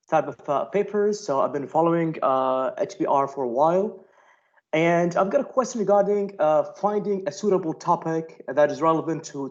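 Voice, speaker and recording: A man with a fairly high voice asks a question slowly and hesitantly, with lots of fillers. His voice comes through a dull, lo-fi video call line. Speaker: assistant professor asking a question. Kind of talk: audience question in a webinar.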